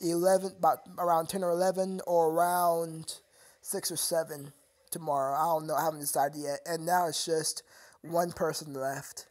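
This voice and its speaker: A man's voice: a little high, level tone, moderate pace, a little mellow. A young man chatting casually with viewers on his live stream, rambling and stammering with frequent laughter.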